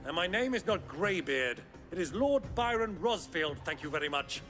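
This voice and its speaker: Flamboyant middle-aged lord, show-off, bright high voice swinging wide;. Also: male voice, expressive, normal speed, soft background music. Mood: haughty. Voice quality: theatrical, hammy. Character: flamboyant boastful nobleman uncle, theatrical. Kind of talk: video game cutscene acting. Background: game score.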